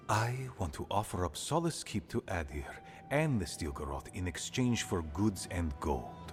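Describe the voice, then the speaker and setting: Fantasy game: aging dwarf leader, low pitch with lively swings, grave, pragmatic, blunt, faint music behind. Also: male voice, heavily acted. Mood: blunt, weary. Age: older. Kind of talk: fantasy video game dialogue.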